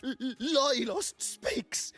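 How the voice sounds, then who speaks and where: A man's voice: extremely high pitch, slow pace, a bit bright, soft background music, full character voice. An actor playing a simple-minded little creature henchman in a video game, stuttering in broken speech. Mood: proud.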